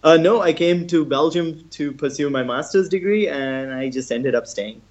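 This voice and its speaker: A man's voice: on the high side, moderate pace. Chatty young man from India, muffled. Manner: relaxed, chatty, laughs often, fillers like "um" and "like". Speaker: guest. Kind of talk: casual interview.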